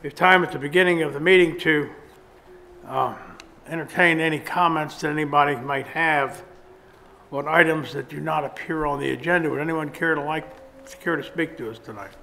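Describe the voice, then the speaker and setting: Gravelly-voiced man chairing a meeting. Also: on the high side, fairly quick, sharp tone, slight background noise. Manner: plain, procedural, brief asides. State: gravelly from a cold. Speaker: mayor chairing a council meeting.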